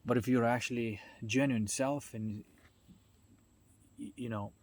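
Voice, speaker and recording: A man giving an informal explanatory monologue, haltingly searching for his words as he goes. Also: medium pitch, fairly even intonation. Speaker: speaker on self-development.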